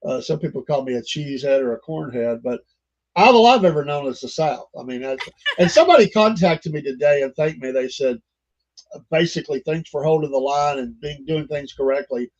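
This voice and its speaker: Older folksy man, slightly high, muffled. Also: lively intonation, a little fast. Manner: chatty, folksy, rambling with fillers. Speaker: podcast host. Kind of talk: casual interview chat.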